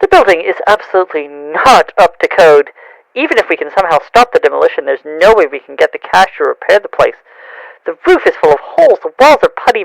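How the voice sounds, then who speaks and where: An actor playing a nervous, flustered young coworker with a hesitant, stammering delivery in an audio drama. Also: male voice, a little high, vocal fry, a bit bright, no room sound, exaggerated voice, poor audio. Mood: exasperated.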